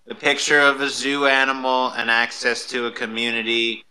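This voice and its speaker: A man's voice: medium pitch, flat delivery, moderate pace, pushed and bright, rough recording. An American man hosting a casual interview, chatting informally as he asks and explains. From United States. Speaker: host.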